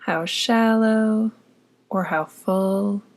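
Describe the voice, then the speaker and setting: A woman narrating a guided meditation in a soft, breathy voice, with a soothing, gently instructing manner. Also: mid-range voice, steady tone, drawn-out words. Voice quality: soft, breathy, often near whisper.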